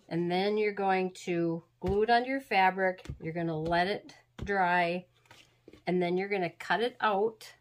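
A woman hosting a craft tutorial, talking through steps in a homey, rambling way, a little sniffly from being sick. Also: mid-range voice. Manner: chatty, homey, rambling instructions. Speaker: craft channel host. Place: crafting table indoors.

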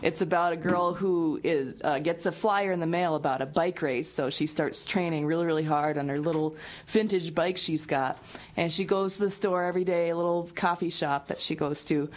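A woman's voice: fairly low pitch, normal speed. A woman musician talking casually and jokingly as a guest on a radio show. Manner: joking, playful. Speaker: musician guest, singer-songwriter.

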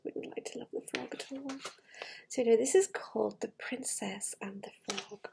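A middle-aged woman with a breathy voice, reading a fairytale to children with gushing, chatty asides in a living room. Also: a little high, moderate pace, whispered. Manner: gushing, wistful enthusiasm for the book. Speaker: children's storyteller. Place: living room with large glass doors. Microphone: device camera at arm's length.

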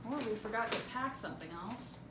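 A woman's voice: a little high, lively intonation, brisk. A young woman doing an amateur dramatic reading of a script as a daughter, in a room at home. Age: young adult. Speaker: student scriptwriter and amateur reader. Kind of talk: dramatic script read-through, playing a daughter. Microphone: camera a few feet away. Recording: distant echoey room mic, clear noise.

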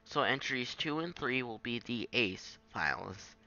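A man giving a casual, improvised software tutorial in a halting, self-deprecating way on a home microphone. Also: medium pitch, expressive, creaky, highs cut off. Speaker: tutorial maker. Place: at a home computer, screen recording.

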